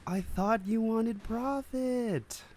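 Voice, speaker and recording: Man acting as a high-voiced, pleading underworld manager. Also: extremely slow, darkish tone, exaggerated voice. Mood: defensive. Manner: pleading, defensive. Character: evasive, placating male spice-den manager in trouble. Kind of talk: fan script table read, dramatic acting.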